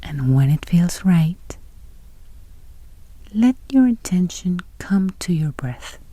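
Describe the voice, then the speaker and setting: A woman leads a guided meditation in a low, very dark and mellow voice. Her delivery is soft, breathy and close to a whisper, with a gentle, unhurried calm. The sound is dry and intimate. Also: no echo.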